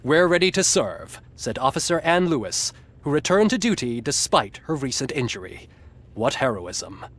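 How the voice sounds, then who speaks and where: A man's voice: mid-range voice, very animated, moderate pace. A man playing a glib, satirical TV news anchor in a video game, with a polished, smugly ironic delivery. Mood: wry, portentous. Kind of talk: scripted satirical newscast narration.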